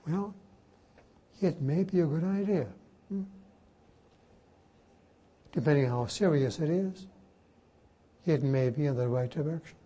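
A man's voice: fairly high pitch, unhurried, long pauses, dark tone, husky, dull audio. An older man, a Jesuit priest whose first language was Slovak, teaching a class informally and spelling words out letter by letter. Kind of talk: informal lecture and Q&A.

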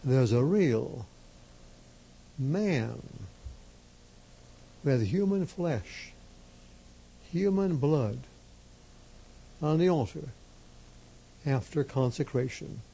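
Slow, gravelly-voiced priest preaching. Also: male voice, mid-range voice, animated, mellow, low-quality recording. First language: Slovak. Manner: emphatic, measured, repeats key phrases. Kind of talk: slow deliberate sermon.

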